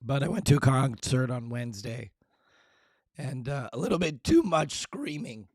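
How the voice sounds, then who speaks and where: A man hosting a live stream, his voice hoarse and raspy, talking low and clearing his throat, making fun of how he sounds. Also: lively intonation, moderate pace, a little mellow, no echo, studio quality. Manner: self-deprecating, frequent throat clearing. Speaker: show host. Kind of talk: casual live-stream chat.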